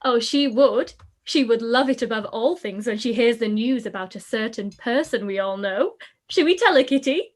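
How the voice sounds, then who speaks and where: A woman's voice: a little high, animated, normal speed. A woman playing a giddy, careless teenage girl who chatters in a live-read radio play. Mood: gossiping. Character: giddy, silly youngest sister, teenage girl.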